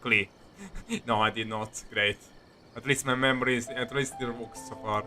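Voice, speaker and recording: A man's voice: medium pitch, fairly even intonation, normal speed, bright, forceful tone. A man streaming a game with casual live commentary, rambling, giggly and often repeating himself. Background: faint game music.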